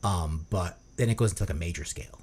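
A man's voice: deep voice, fast, darkish tone, breathy edge. A man co-hosting a casual music review chat, rambling and hesitant, playful. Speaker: podcast co-host.

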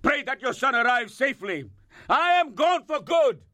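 A man's voice: very high-pitched, big pitch swings, normal speed, heavy background noise. A man playing an outraged, embittered middle-aged husband in an audio drama, heated and accusing. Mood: furious, accusing.

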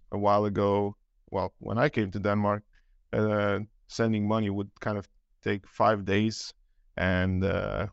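Romanian-born male guest, deep fry in the voice, relaxed chat. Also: dry recording, muffled recording. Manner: loose, with filler words. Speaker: guest, tech lead. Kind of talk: casual panel talk.

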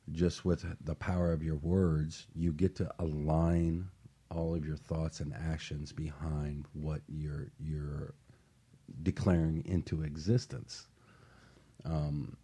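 Really deep guy, slightly husky, earnest halting panel talk. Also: dark tone. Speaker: panel guest. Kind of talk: casual panel discussion.

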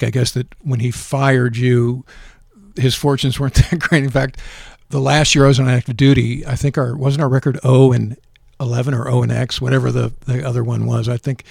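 A man's voice: medium pitch, gravelly, a little mellow, no echo, clean studio sound. A middle-aged man hosting a podcast, asking questions in a chatty, friendly way. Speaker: podcast host. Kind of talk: podcast interview.

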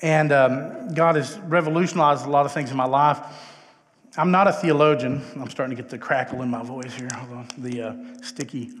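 A middle-aged man with a Texan accent giving an informal, self-deprecating chapel talk as a conversational guest speaker. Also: fairly high pitch. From Texas, United States. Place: large indoor chapel, live talk. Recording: echoey hall with long reverb.

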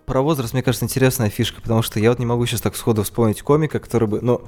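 A man's voice: medium pitch, fairly even intonation, rapid-fire, darkish tone, faint music behind. A man co-hosting a podcast, musing aloud in casual chat. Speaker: podcast co-host.

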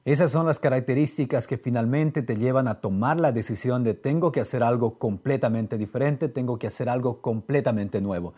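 Mellow, earnest male podcast host. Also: medium pitch, a little fast, hardly any pauses, breathy edge. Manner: earnest, speaks directly to viewers. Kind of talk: motivational podcast talk. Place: live streamed podcast.

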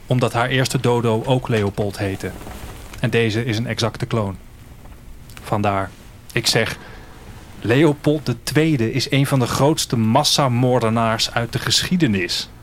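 A man's voice: medium pitch, a little slow, a little hiss or hum, close to an everyday voice. An actor playing a reflective man of a future world, narrating a radio drama intimately in the first person. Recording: music under the voice. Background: music.

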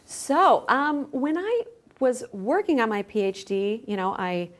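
A woman's voice: fairly high pitch, sing-song, a little slow. Woman explaining things in conversational interview. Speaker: podcast guest, scholar and journal editor.

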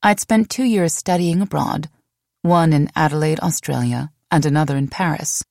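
A woman reading a memoir as an audiobook narrator, in a measured, expressive storytelling manner. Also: low voice, vocal fry, no room sound, studio quality.

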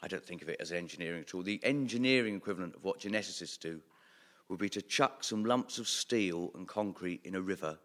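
A man playing a self-styled evil genius who now rules the world, deadpan and rambling, with stammered restarts and chuckles, before a live theatre audience. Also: deep voice, quick pace, pitched below the performer's own voice. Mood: deadpan, smug. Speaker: comedian playing a new supreme commander of the world. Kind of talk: improvised comic interview in character.

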